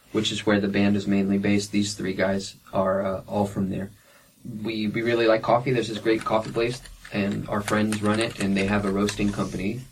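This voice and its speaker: A man's voice: fairly low pitch, distant mic, slight background noise, low-quality recording. A young man and independent musician answering interview questions frankly, with plenty of fillers and restarts.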